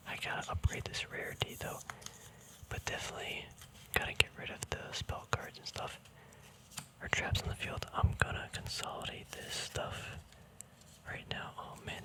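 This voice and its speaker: A man speaking entirely in a soft, breathy whisper, rambling casually and informally in a laid-back ASMR style. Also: low-quality recording. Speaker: ASMR card collector host.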